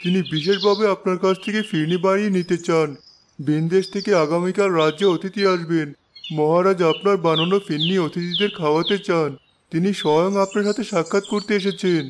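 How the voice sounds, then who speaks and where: A man's voice: high voice, steady tone, fairly quick, noisy background, natural voice. A man voicing imperious royal figures in a fairy-tale cartoon, formal and declamatory. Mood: formal, courteous. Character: imperious king and his courtly royal messengers.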